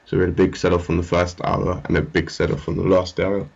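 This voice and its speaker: A man's voice: low-pitched, level tone, fast talker, vocal fry, darkish tone, muffled recording. A man giving casual, unscripted commentary on a screen as a market analyst, hesitant and rambling. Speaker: trading analyst presenting his market update. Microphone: amateur screen-recording voice-over.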